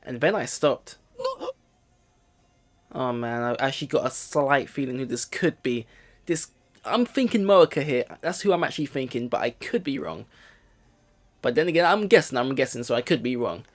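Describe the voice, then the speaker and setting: Lively man reading out a game. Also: medium pitch, normal speed, pauses often. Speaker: let's play host. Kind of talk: reading game narration aloud with casual commentary. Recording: game music behind the voice.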